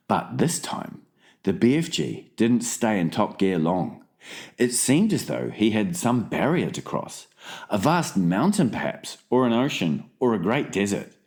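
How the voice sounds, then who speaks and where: A man's voice: on the low side, a little mellow. A man narrating a children's storybook in a dramatized audiobook reading style.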